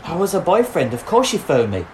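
An actor playing a distraught, bitter suspended policeman in an audio drama, speaking in an anguished, halting way. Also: on the high side, very animated, darkish tone, a little hiss or hum. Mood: defensive, anguished. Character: distraught suspended young policeman, bitter, guilt-ridden lover. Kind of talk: audio drama monologue and dialogue.

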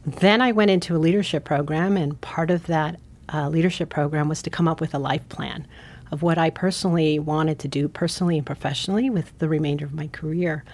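A woman from San Francisco, a foundation CEO, giving measured, thoughtful answers in an interview. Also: low voice, fairly even intonation, darkish tone. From San Francisco, United States.

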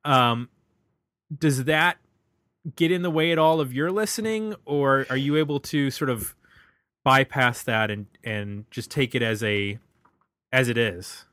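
A man's voice: medium pitch, unhurried, pauses often, pushed and bright, no room sound. Hesitant man asking questions, dry sound. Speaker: podcast co-host. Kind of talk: casual album discussion.

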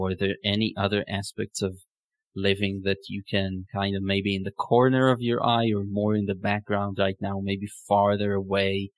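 Low-voiced man, even and reflective. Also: no room sound. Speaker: podcast host. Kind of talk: reflective conversational interview.

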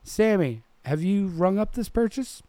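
A man's voice: high voice, lively intonation, a little slow, dark tone, no room sound, voice pushed up. A man voicing a stern, fussy middle-aged store manager in a scolding, emphatic manner. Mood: pointed.

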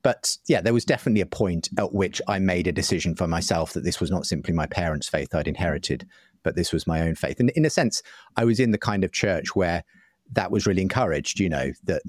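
A man's voice: sits low, no room sound, clean studio sound. A British man answering interview questions in a thoughtful, articulate, conversational way, often hedging as he goes. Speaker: guest, writer and broadcaster.

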